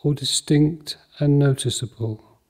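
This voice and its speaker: Slow, mellow man guiding meditation. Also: a little high. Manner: calm, measured, soothing, with long pauses between short phrases. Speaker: meditation guide. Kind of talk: guided mindfulness meditation.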